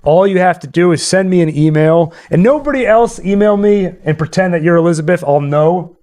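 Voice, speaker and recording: A man's voice: high-pitched, expressive, brisk, darkish tone. A man hosting a livestream, chatting casually with his viewers. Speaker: livestream host.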